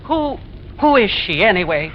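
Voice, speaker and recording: A woman's voice: mid-range voice, very animated, moderate pace, close to an everyday voice. An actress playing a stubborn, uneasy widowed landlady, folksy and forthright, in an old-time radio suspense play. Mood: indignant. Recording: muffled, noisy vintage radio recording.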